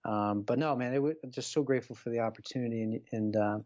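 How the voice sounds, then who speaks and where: Slightly muffled, lo-fi audio carries a man in a medium-pitched voice. He is a podcast guest chatting casually, informal and effusive, often halting as he goes. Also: darkish tone. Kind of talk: casual conversation.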